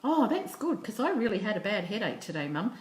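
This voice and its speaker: A woman's voice: mid-range voice, sing-song, a little fast, natural voice. A woman voicing an eager, innocent little schoolboy who talks artlessly and earnestly to his mum. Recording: echoey room.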